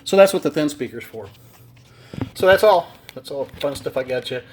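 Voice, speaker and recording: A man's voice: fairly high pitch, normal speed, a little hiss or hum. A man, a home theater installer, casually explaining gear in a basement with many ums and uhs. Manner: relaxed, rambling, lots of fillers. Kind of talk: casual on-site video demo. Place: unfinished basement of a house.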